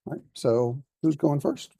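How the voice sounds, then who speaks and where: A middle-aged male professor giving brisk classroom announcements and checking in with quick tag questions, in a lecture room. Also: medium pitch, vocal fry, dark tone.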